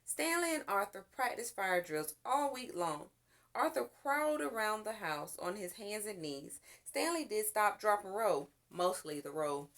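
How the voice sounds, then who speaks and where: Animated woman reading a children's story. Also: mid-range voice, a little slow. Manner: expressive, with character voices and asides to listeners. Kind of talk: read-aloud children's storytelling.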